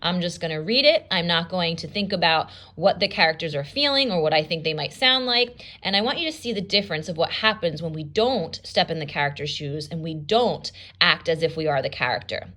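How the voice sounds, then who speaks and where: A woman teacher reading a story aloud and explaining as she goes, in an expressive, instructional style. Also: lowish voice, a little fast, hardly any pauses.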